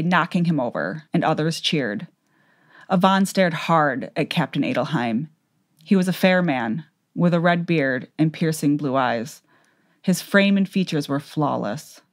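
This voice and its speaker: A woman narrates a fantasy tale from a script. Her voice is low, and her delivery is measured and dramatic. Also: animated.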